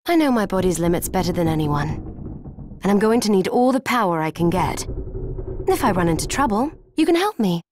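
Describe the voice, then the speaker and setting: A woman's voice: medium pitch, very animated, brisk, noisy background, natural voice. A woman acting an earnest, determined young fighter in a dramatic video game scene. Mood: confident, determined. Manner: earnest, resolute.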